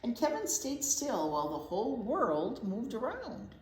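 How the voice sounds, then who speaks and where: A woman with a high, very lively voice reads a picture book to preschoolers. She takes her time with expressive pauses and chatty asides to the children. The room is noticeably echoey. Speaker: children's storytime teacher reading aloud. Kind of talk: animated picture book read-aloud for preschoolers. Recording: roomy echo, faint background noise.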